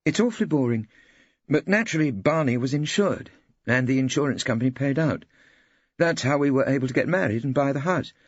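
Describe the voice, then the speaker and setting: Man as a high-pitched, confiding wife. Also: expressive, fairly quick, dry recording, muffled recording, natural voice. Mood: confiding, appealing. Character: married woman, proud, confiding, trusting. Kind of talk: audiobook character dialogue.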